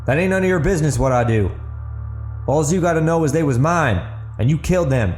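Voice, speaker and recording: A man's voice: a little high, expressive, a little fast, darkish tone, echoey room, soft background music, pitched above the performer's own voice, natural voice. A man voicing a wary, threatening country gunman with folksy rustic speech in an audiobook drama. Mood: bitter. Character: wary, threatening rural gunman defending his home and hogs.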